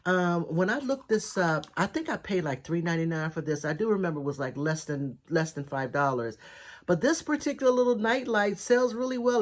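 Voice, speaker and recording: A middle-aged woman with a raspy, congested voice, chatting enthusiastically through a thrift haul video. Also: lowish voice. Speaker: thrift reseller vlogger. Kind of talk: casual haul video chat.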